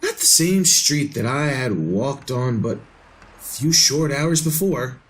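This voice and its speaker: An actor playing an obsessed, bewildered man in a retro radio drama, with halting, melodramatic delivery. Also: mid-range voice, expressive, fairly bright tone. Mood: haunted, uneasy. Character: obsessed, bewildered man craving knowledge of the future.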